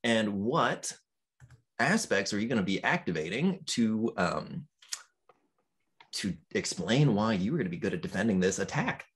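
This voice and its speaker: A man running a tabletop role-playing session as game master, encouraging the players and pausing often with ums. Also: on the low side, big pitch swings, breathy edge. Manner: encouraging, hesitant with frequent ums.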